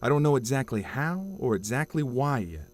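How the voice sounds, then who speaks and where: A man's voice: medium pitch, very animated, moderate pace, lightly acted. A man playing a dogged, irritable, confrontational police detective in a noir audio drama. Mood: stern, irritated. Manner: confrontational, blunt.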